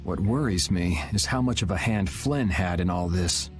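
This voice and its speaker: A man's voice: sits low, normal speed, lightly acted. An actor playing a cool, wry young swordsman with a casual, teasing way in a video game. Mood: cool, serious. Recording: music under the voice.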